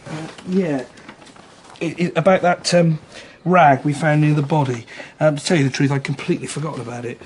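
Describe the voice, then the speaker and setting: An actor playing an easygoing small-town policeman with a husky voice in a radio drama. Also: fairly high pitch, steady tone, fairly quick, a little hiss or hum, lightly acted. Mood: affable. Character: affable, folksy small-town policeman who knows everybody.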